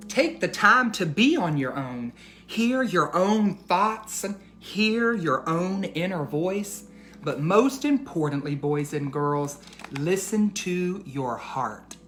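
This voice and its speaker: A man hosting a read-aloud for children, speaking directly to young listeners with deliberate, emphatic phrasing. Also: high-pitched, expressive, fairly bright tone.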